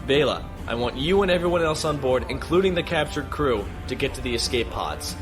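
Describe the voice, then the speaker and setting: Guy as determined spaceship captain, terse and steady, middle voice, music loud behind. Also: medium pitch, brisk, natural voice. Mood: grave resolve. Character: resolute, self-sacrificing male starship captain. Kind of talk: audio drama acting.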